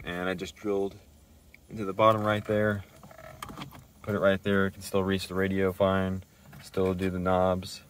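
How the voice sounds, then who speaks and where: Male DIY presenter, low pitch, informal explanatory delivery, lightly noisy recording around a car. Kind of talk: casual hands-on walkthrough.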